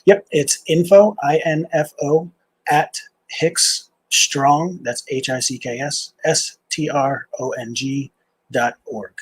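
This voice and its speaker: A middle-aged man, a nonprofit founder, answering interview questions in a halting, casual way. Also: a little high, fairly even intonation, husky, no room sound, low-quality recording. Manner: halting, with many "uh"s and restarts.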